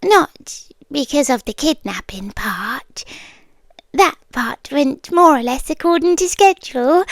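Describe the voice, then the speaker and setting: A young British woman voicing an obsessive, doting girlfriend in an ASMR roleplay, speaking softly and sliding into close whispers. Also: high-pitched, expressive, takes it slowly, no echo, exaggerated voice. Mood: calm doting. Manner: soft-spoken, drifting into whispers, gently unsettling.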